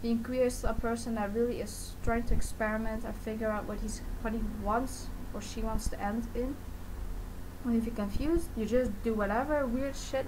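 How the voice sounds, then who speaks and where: A young adult vlogger talking casually and personally to camera in a rambling, self-correcting, informal way. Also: medium pitch. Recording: noticeable background noise.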